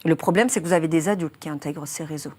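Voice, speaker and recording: A woman's voice: deep voice, racing, warm tone. A woman in her twenties, a journalist being interviewed, who explains a criminal case earnestly, chooses her words with care and uses many fillers. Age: 20s. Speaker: journalist guest.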